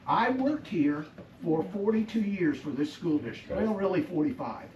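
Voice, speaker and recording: An older man with a loud voice speaks at a public school board meeting, slow and emphatic, repeating his points. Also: high-pitched, animated, lo-fi sound. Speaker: former school district employee speaking at a board meet and greet. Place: school district office lobby at a public meeting. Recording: roomy echo, distant mic, faint background noise.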